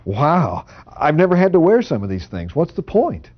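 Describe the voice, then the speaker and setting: A man's voice: a little high, huge pitch swings, normal speed. A man in his fifties with an American accent playing a naive, eager refinery trainee in a scripted training film. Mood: curious, impressed. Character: naive, curious, eager male refinery newcomer. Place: classroom. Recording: slightly dull, muffled audio.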